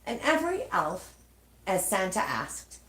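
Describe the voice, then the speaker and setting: Animated woman storyteller in an echoey room. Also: mid-range voice, unhurried. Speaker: storyteller reading aloud. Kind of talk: expressive children's storybook reading. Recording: echoey, roomy sound.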